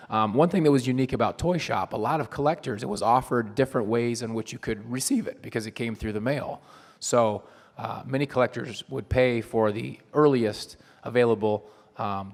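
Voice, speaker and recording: A hoarse man giving a chatty panel talk to a live audience, with frequent ums and restarts. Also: medium pitch. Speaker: convention panel presenter. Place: convention hall panel room. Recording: long hall reverb.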